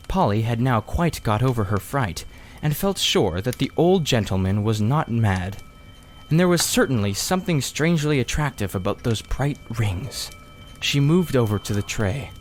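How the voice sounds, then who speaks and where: A man reading a children's story aloud as expressive scripted narration. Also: mid-range voice, moderate pace, slight background noise. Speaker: audiobook reader.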